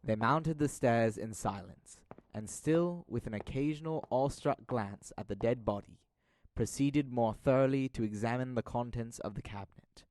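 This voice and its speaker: A man's voice: mid-range voice, normal speed, darkish tone, dry recording. A young man reading scripted dramatic narration of a classic tale, as narrator of an audio drama. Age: young adult.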